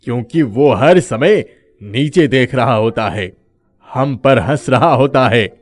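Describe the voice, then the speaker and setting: Man playing a slow-talking sly thief. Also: medium pitch, expressive. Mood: dreamy, sly. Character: cunning, boastful male thief posing as a madman. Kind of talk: animated moral story dubbing.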